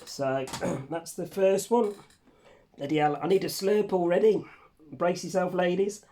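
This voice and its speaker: A man with a hoarse, croaky voice from a cold, chatting casually to camera as a vlog host in a room at home. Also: sits high, unhurried, warm tone. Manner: chatty, rambling, casually swearing. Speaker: channel host. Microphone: facing camera under a ring light.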